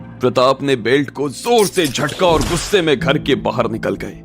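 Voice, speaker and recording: Male audiobook narrator, medium pitch, dramatic scripted storytelling, soft music bed underneath. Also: expressive, moderate pace. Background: faint music.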